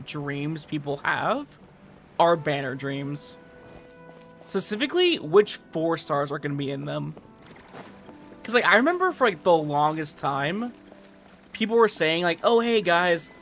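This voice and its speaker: A young man livestreaming a game, chatting casually in a rambling, halting way with animated emphasis. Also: fairly high pitch. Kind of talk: casual livestream chat over gameplay.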